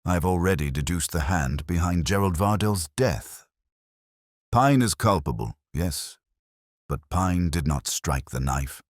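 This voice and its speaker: Imperious big detective played by a male narrator, bottomless low voice, formal and peremptory, audiobook crime story. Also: expressive, unhurried, dry recording, studio quality. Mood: stern. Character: commanding, imperious, heavyset male master detective.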